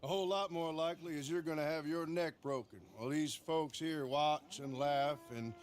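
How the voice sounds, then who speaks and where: An actor playing a wry, easygoing American Old West marshal in a video game cutscene, with a folksy, sardonic way of talking. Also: male voice, on the high side, steady tone, normal speed, lightly acted. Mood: smug, mocking. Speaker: lawman.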